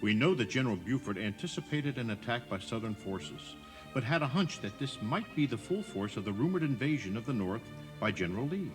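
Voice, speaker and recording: A man's voice: medium pitch, very animated, fairly quick, over music. A man narrating a scripted audio tour, explaining things directly to the listener.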